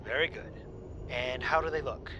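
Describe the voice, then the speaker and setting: A performer voicing a calm, patient pilot and mentor in an audio drama, giving clipped, encouraging replies. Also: mid-range voice, moderate pace, lo-fi sound, noisy background, close to an everyday voice.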